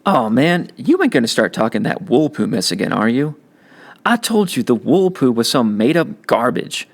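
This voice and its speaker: Audiobook big brother, teenage boy, acted by a man: slangy, blunt, protective, with a creaky edge. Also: mid-range voice, sing-song, moderate pace, voice pushed up. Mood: scolding. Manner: blunt, slangy, gruffly reassuring. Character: tough but caring adolescent big brother. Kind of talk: audiobook character acting.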